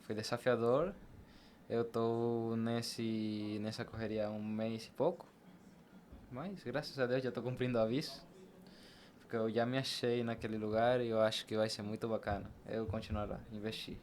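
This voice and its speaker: A man's voice: medium pitch, little pitch movement, normal speed, a little mellow. A man speaking as an interview guest, telling his experiences in a conversational podcast style. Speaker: podcast guest, barber and shop team leader.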